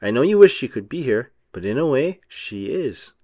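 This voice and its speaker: A man voicing a gentle, kindly older sultan father in a children's storybook, tender and reassuring. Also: medium pitch, very animated, normal speed, no room sound, clean studio sound.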